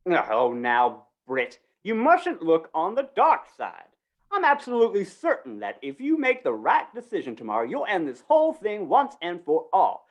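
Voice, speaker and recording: A woman's voice: lowish voice, huge pitch swings, normal speed. A woman playing a slippery, wheedling male small-town fair organizer in an old-time western radio drama, coaxing and folksy. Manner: coaxing, folksy, falsely reassuring.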